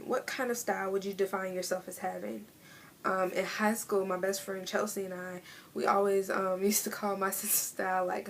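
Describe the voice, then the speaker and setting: A woman of twenty in a casual vlog, chatting in a relaxed way with many fillers as she answers tag questions. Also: medium pitch, slight background noise. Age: 20s. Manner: chatty, laid-back, lots of fillers. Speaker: vlogger. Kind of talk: casual tag video chat.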